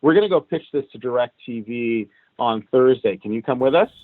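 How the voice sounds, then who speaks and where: A man's voice: mid-range voice, moderate pace, crowd noise. Man telling his story over a tinny line. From Cuban. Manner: animated, recounts events at length. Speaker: interview guest. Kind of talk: casual career storytelling. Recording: tinny phone-like line, dry.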